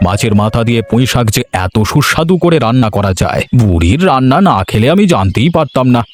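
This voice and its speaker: A man's voice: medium pitch, lively intonation, fast, lightly acted. A man voicing an earnest, emphatic village uncle in a cartoon, protective and grateful. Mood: grateful, pleased. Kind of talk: Bengali cartoon dialogue.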